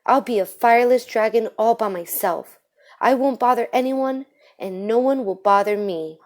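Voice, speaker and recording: A woman reads as a small boy dragon, seven years old, from a picture book. He speaks gently and plainly, in a downcast, discouraged way. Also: on the high side, moderate pace, lightly acted. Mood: dejected.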